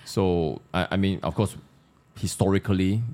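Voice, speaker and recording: A man with a very deep, mellow voice and a Malaysian accent. He talks informally, as in a podcast discussion. His phrases come out haltingly, with words repeated while he works out the thought. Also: steady tone. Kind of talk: casual discussion of social issues.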